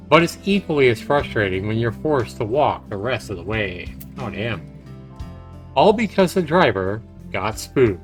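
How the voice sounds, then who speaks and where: A male streamer with a mid-pitched voice reads a visual novel aloud. His delivery is halting, with small self-corrections and casual asides, over faint game music. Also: fairly bright tone.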